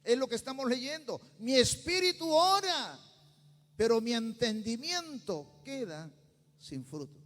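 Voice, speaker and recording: High-voiced Peruvian pastor preaching in a hall. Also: male voice, very animated, a little slow, a bit bright, faint music behind. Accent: Peruvian Spanish. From Peru. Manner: preaching with rising intensity, bursts into tongues. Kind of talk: sermon. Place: church sanctuary.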